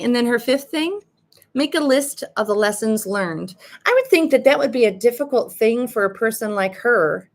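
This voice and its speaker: An older woman hosting a live coaching podcast, chatting casually with ums as she reads articles aloud, her voice a little raspy. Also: fairly high pitch. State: slightly raspy voice. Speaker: life coach and podcast host. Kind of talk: live-streamed podcast, reading articles and greeting chat.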